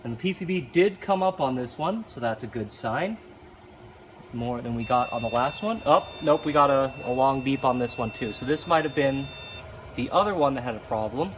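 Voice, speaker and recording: A man's voice: medium pitch, moderate pace. A man giving casual, unscripted hands-on commentary, thinking aloud informally as he works. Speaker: hobbyist video host. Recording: loud ambient noise around voice.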